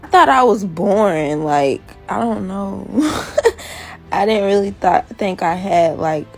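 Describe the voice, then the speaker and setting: Slow, hesitant woman chatting casually. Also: medium pitch, faint music behind. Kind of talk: casual chat, reacting to results.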